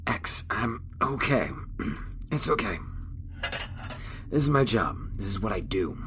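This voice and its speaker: An actor playing a gravely wounded young spaceship crewman in an audio drama, stoic and gentle, weak and halting. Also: fairly low pitch, big pitch swings, noisy background, full character voice. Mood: weak, reassuring. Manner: halting, understated, reassuring. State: weak, in pain.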